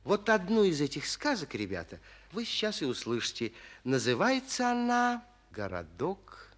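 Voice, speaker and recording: An actor narrating a 1940s children's radio play as a kindly storyteller, expressively addressing young listeners. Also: male voice, high-pitched, huge pitch swings, normal speed, fairly bright tone, exaggerated voice. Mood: storytelling.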